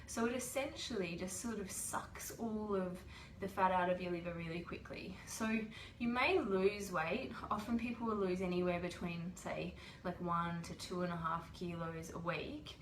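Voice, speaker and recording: Low-voiced woman with a cold, echoey room. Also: slight background noise. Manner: chatty, explains patiently with many ums. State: has a cold. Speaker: host. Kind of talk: casual livestream explainer. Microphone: webcam or phone livestream.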